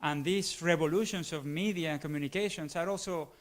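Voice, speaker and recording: A man in the audience slowly builds a long question. His phrasing is formal, and he pauses and restarts as he hunts for words. His voice is slightly higher than most men's. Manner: formal, halting, searching for words. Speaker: audience member asking a question.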